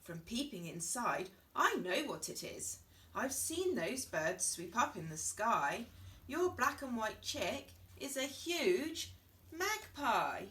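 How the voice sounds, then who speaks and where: A confident storybook rooster, played by a woman reader. The voice is fairly high, eager and sure of himself, rising and falling freely. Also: big pitch swings, takes it slowly, bright, forceful tone, far from the mic, heavily acted. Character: cheerful, confident guessing rooster.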